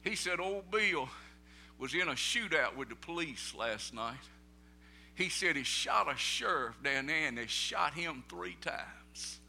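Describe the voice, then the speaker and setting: A middle-aged man with a Southern American accent preaching a sermon, telling folksy stories in plain vernacular and building up to loud preaching peaks. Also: on the high side, animated, measured pace, creaky, a little hiss or hum. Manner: folksy storytelling, rising to shouted preaching peaks.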